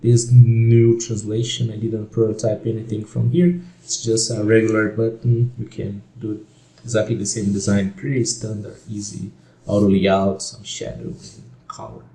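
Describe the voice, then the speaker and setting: A man gives a relaxed design tutorial, thinking out loud and correcting himself along the way. His voice is low, dark and gravelly, and his delivery is slow. The sound carries noticeable room echo. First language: Portuguese. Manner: relaxed, thinking aloud with fillers and self-corrections. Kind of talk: screen-share software tutorial.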